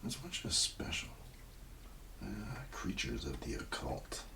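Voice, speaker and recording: A man doing a casual ASMR roleplay, murmuring and rambling in a low-key, unhurried way, sometimes near a whisper. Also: huge pitch swings, pushed and bright, far from the mic, slight background noise.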